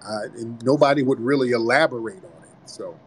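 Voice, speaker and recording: A man's voice: medium pitch, fairly quick, a little hiss or hum. A man hosting a heated call-in debate, combative, repeating himself and talking over the caller. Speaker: host.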